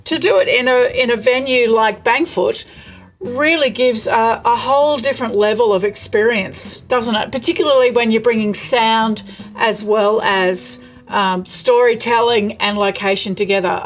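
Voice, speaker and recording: Higher-voiced Australian woman interviewing casually. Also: measured pace. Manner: encouraging, appreciative. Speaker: interviewer, podcast host.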